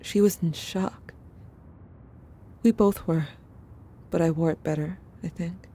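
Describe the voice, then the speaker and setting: A woman playing a haunted, protective sibling in an audio drama, confiding a traumatic memory in a hushed, subdued way. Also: on the low side, fairly even intonation, slow pace. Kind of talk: intimate scripted second-person narration.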